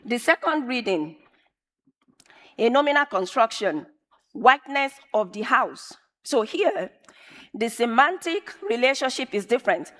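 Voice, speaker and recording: A woman whose first language is Yoruba, giving a measured, emphatic conference talk and repeating phrases for effect. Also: fairly high pitch. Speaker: conference speaker. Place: large conference hall. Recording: long reverb of a large hall.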